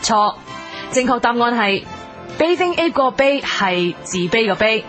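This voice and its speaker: Female quiz host played by an actress, Hong Kong Cantonese, comedy radio, announcer polish, slightly high pitch, clear music bed. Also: sing-song, unhurried, fairly bright tone. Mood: brisk, deadpan. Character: brisk, absurdly biased female school quiz show host. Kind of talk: comedy radio sketch as game show hosting. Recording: music behind the voice.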